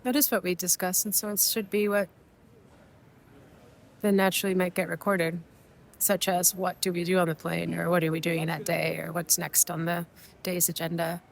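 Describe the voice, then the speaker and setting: A woman's voice: fairly low pitch, level tone, normal speed, gravelly. A young woman from Mexico whose first language is Spanish, co-hosting a podcast with meandering, reflective casual chat full of fillers and restarts, recorded at an airport. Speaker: podcast co-host. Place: airport waiting area.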